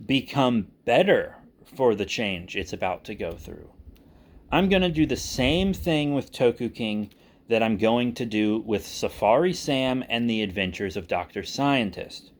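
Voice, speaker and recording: A man speaking casually and unscripted as a channel host, candid and self-deprecating, with halting delivery. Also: mid-range voice. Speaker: audio drama creator and channel host. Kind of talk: casual unscripted vlog talk.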